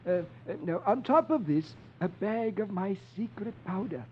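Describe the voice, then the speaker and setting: A man voicing a little old eccentric sorcerer-scholar in a halting, absent-minded manner for a 1950s radio comic-strip reading. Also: lively intonation. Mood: fussy, incantatory. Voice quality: high, thin old-man voice. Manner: halting, hesitant, with little uhs. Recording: muffled, noisy old radio recording.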